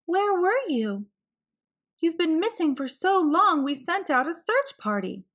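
A woman's voice: very high-pitched, very animated, measured pace, a bit bright, voice pushed up, exaggerated voice. A woman voicing a worried, exasperated mother in a picture book, speaking emphatically. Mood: worried, relieved.

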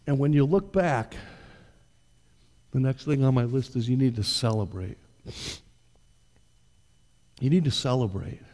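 Older male pastor, conversational sermon delivery, mellow voice, reverberant church hall. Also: medium pitch, measured pace, pauses often. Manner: conversational preaching, direct questions to the congregation. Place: church stage.

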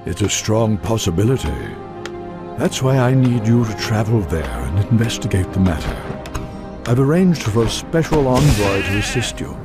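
An old wizard mentor in a fantasy game. He sounds low, gruff and wise, measured and authoritative, over loud music. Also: sing-song. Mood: kindly, serious.

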